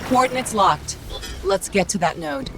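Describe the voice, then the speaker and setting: A woman's voice: medium pitch, expressive, a little slow, close to an everyday voice. A woman voicing a small, witty and cocky female AI companion in a video game, wry, self-assured and conversational. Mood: determined. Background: loud cinematic game music.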